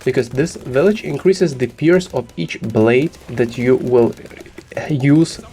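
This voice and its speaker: A man's voice: mid-range voice, slow pace, dark tone, slight background noise. Man with a sick voice explaining game strategy. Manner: instructive, many "uh" fillers. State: sick, slightly rough voice. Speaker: gaming guide host.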